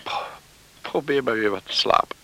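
A woman acting an anxious figure at a sickbed in a radio play, with a creaky voice. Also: a bass voice, moderate pace, bright, forceful tone, whispered, full character voice, low-quality recording. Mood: tender, hushed. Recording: faintly hissy radio play recording.

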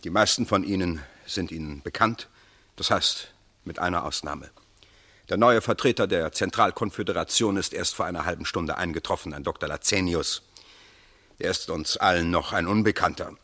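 A very low-voiced, formal government minister in a fifties German radio drama. He speaks with grave, measured urgency, like an official guarding a worrying secret. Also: bright, forceful tone. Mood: grave, worried. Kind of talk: 1950s German radio play acting.

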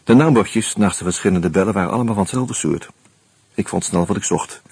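An actor playing an uneasy murder suspect in a radio play, musing and hesitant, with a wry streak. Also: male voice, sits low, fairly quick, darkish tone, breathy edge, no room sound. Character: uneasy male murder suspect narrating his own investigation. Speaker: actor and first-person narrator. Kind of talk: Dutch radio play, reflective narration and dialogue.

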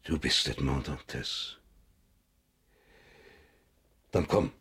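An actor playing a proud middle-aged royal prosecutor broken by guilt and horror in a radio drama, agitated and husky. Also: male voice, rumbling low, a little slow, bright, forceful tone, full character voice. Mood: bitter despair. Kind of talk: German radio drama acting.

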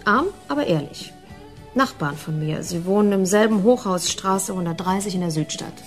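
An actress playing a chatty colleague in a radio drama, colloquial and coaxing. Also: female voice, mid-range voice, moderate pace, soft background music, close to an everyday voice. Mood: matter-of-fact. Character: chatty female colleague asking a favour.

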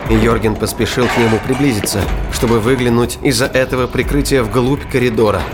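A man reading scripted narration of a science fiction thriller as a dramatic, deliberate storyteller. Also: mid-range voice, noisy background. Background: music and battle sound effects.

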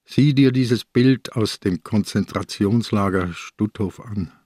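An actor playing a sick, stubborn old police inspector in a radio crime play, gruff and deliberate, probing and gently mocking. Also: male voice, lowish voice, dry recording. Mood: grave, insistent. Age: older. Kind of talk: radio drama acting. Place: dry radio studio.

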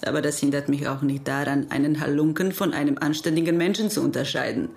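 A woman's voice: sits low, fairly even intonation, quick pace, vocal fry, a little mellow. An actress playing a frank, unashamed older woman under police questioning in a German radio crime drama, blunt and precise. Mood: frank, unruffled.

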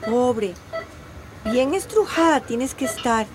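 A fairly high woman's voice against clear noise. an ailing sister in a radio soap, gentle and sighing, full of sympathy. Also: lively intonation, slow pace. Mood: sympathetic.

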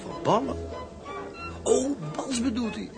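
A man's voice: very high voice, takes it slowly, gravelly, heavily acted. An actor playing a jovial, cheeky man flirting at a dance, teasing and familiar in manner. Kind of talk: comic period drama dialogue. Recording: loud dance music behind dialogue.

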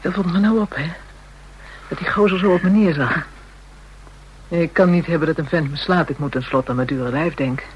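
A jaded, hard-living streetwalker in a radio drama. Her voice is on the low side for a woman, coarse and scornful in manner, with some noise in the recording. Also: highs cut off. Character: brash, jaded, street-hardened woman, a prostitute. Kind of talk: Dutch radio play acting.

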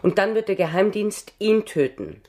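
An actress playing an anxious, determined, secretive woman in a radio drama, with a husky, soft voice and urgent, pleading delivery. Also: mid-range voice, animated, moderate pace, natural voice. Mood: grave urgency. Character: anxious, determined woman hiding a dangerous past.

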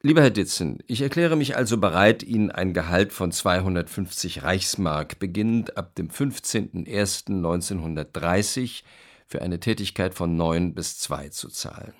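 Man playing a brisk formal publisher in a radio play, really low voice, reads letters out emphatically. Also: natural voice. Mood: decisive, benevolent. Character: formal, businesslike male publisher, benevolent and brisk.

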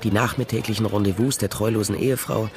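A man acting a hard-boiled private eye from a radio crime play. His voice has a gravelly creak at an ordinary male pitch, and he speaks in a dry, laconic, sardonic manner. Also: fairly even intonation, fast, close to an everyday voice. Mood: wry, sardonic. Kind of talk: radio crime drama, first-person narration and dialogue.

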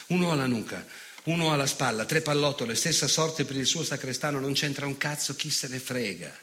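An actor playing a cruel, bitter, nostalgic old fascist in a theatrical monologue, speaking with sardonic, obsessive emphasis. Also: male voice, medium pitch, pushed and bright, close to an everyday voice. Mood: cold contempt. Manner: emphatic, obsessive, sardonic recitation with repeated words.

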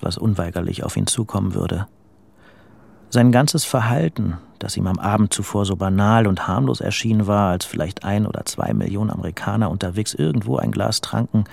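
A man's voice: fairly low pitch, gravelly, a little mellow. A man narrating a literary audio drama from a script.

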